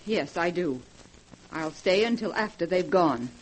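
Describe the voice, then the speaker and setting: An actress playing an imperious, suspicious sister with a stern, sardonic manner in a 1940s radio horror drama. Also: female voice, fairly low pitch, expressive, measured pace. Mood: curt. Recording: noisy old 1940s radio recording.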